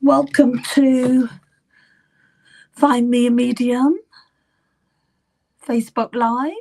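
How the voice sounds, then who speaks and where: A middle-aged woman medium talks to her live audience in an unhurried, gentle way, her voice somewhat high and still a touch croaky. She greets viewers by name and sometimes drops to a soft whisper. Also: level tone. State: slightly croaky voice. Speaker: psychic medium. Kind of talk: live-streamed reading session, chatty.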